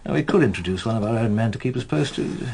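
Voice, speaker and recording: An actor playing a measured, persuasive intelligence officer in a British radio drama, speaking formally and deliberately with a British accent. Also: male voice, medium pitch, animated, vocal fry, background noise.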